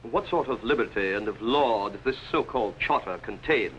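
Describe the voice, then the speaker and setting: A man's voice: on the low side, animated, moderate pace, a bit bright. An actor playing an arrogant, tyrannical medieval king with pompous, commanding delivery in an old television costume drama. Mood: haughty. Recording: noisy 1950s television soundtrack.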